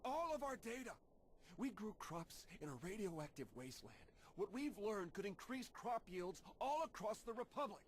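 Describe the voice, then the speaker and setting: A man's voice: high voice, sing-song, normal speed, a little hiss or hum. An actor playing an anxious, insistent male colony researcher in a video game, with a fretful delivery. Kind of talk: video game cutscene acting.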